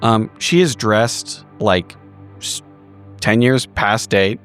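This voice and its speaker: A man narrating his character's actions as a tabletop roleplaying player, descriptive and halting. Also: lowish voice, fairly bright tone, clear, resonant tone, soft background music. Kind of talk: improvised third-person scene narration.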